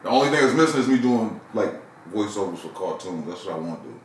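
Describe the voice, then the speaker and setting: A man's voice: on the low side, lively intonation, quick pace, distant mic, slight background noise. A man, a radio personality, chatting as a guest in a laid-back, playful, swaggering way. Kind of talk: casual interview chat. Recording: echoey room, voice off-mic.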